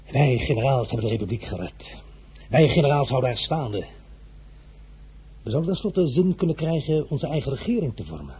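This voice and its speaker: Man, breathy, animated, proud brooding general, sardonic, radio drama. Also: mid-range voice, full character voice. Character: Napoleon, proud, ambitious, brooding young general.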